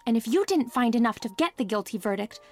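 Casting: sharp-witted young woman student, high voice, arch and articulate, poised but combative, for noir audio drama. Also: animated, quick pace, dry recording, faint music behind. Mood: incisive, challenging. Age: young adult. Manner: articulate, arch, precise, teasing, argumentative.

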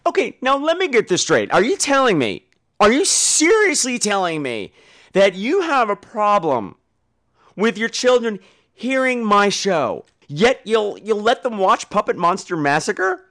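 A man's voice: high-pitched, very animated, measured pace, fairly bright tone. A man hosting a podcast in casual chat, theatrical and teasing, with exaggerated emphasis and some profanity. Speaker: podcast host. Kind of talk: casual podcast chat with listener call-ins.

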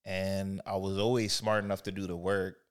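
A man from a Haitian-American family, co-hosting a casual podcast chat and telling his story in a relaxed, steady way. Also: sits low, normal speed, clear, resonant tone. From United States, Haitian family. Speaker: podcast co-host.

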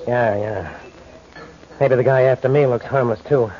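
A man's voice: mid-range voice, moderate pace, close to an everyday voice. An actor playing a frightened, edgy man on the run in an old radio drama, stammering and suspicious. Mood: jittery, fearful. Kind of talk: 1940s radio acting. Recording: dull vintage radio recording.

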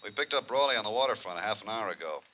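A forceful, fast-talking male police officer in a 1950s radio drama. He is clipped and businesslike, with a low voice on a dull old recording. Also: animated, a little hiss or hum. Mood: matter-of-fact.

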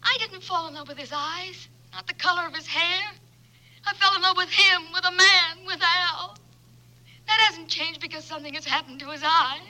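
A woman's voice: very high-pitched, fairly even intonation, moderate pace, background noise. An actress playing a devoted young woman in love, breathless and impassioned, in 1940s radio acting. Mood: fervent.

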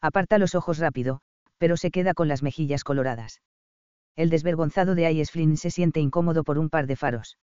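A man narrating a first-person romance audiobook in a calm, even storytelling manner, in a slightly dull, dry recording. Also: on the high side, fairly quick, clear, resonant tone, dry recording.

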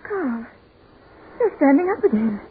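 Frightened pleading woman, very high pitch, theatrical 1940s radio horror, halting and slow, noisy old broadcast recording. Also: sing-song, phone-quality sound. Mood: shaken, subdued. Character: Linda, frightened woman, pleading and easily overcome by terror.

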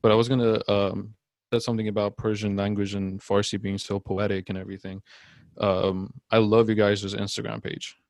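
A young man, born in Iran, hosting a casual podcast chat in a halting way, often searching for words. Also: fairly low pitch, flat delivery, dry recording. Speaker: podcast host.

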